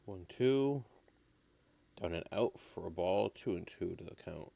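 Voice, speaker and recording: A man with a slightly raspy voice, getting over an illness, giving low-key, casual live baseball commentary as a stream host. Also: lively intonation, a little slow, mellow.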